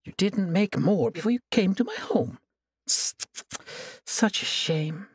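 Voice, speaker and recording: A woman voicing a cruel, gloating sorceress who taunts her captive in a sweetly menacing, drawn-out way. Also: mid-range voice, lively intonation, slightly rough, no echo, pitched above the performer's own voice.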